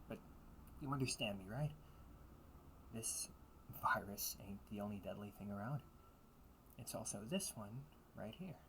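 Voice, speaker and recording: A man playing a teasing but protective older brother in an intimate audio roleplay, talking casually and earnestly with halting pauses. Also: fairly low pitch, background noise. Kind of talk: intimate audio roleplay monologue addressed to listener.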